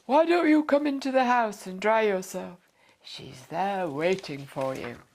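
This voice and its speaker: A woman's voice: medium pitch, unhurried, a little mellow, slight background noise, close to an everyday voice. Storybook father, played by a woman: helpful, unfussy working man, laconic, reassuring. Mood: friendly. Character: easygoing, helpful working-class dad, amused and reassuring.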